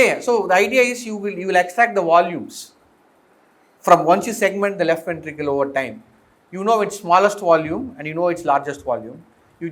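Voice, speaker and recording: A middle-aged man with an Indian accent giving an informal technical lecture as a professor, explaining step by step and posing questions to the class. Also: fairly high pitch. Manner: explanatory, often posing questions and checking understanding. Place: indoor lecture room with green chalkboard. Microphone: fixed or worn mic, voice drifting as he turns.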